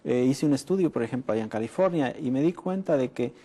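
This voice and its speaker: Middle-aged man from Mexico, mellow-voiced doctor, measured explanations with frequent hesitations. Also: mid-range voice, lively intonation. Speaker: public health doctor, guest. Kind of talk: studio interview, explanatory.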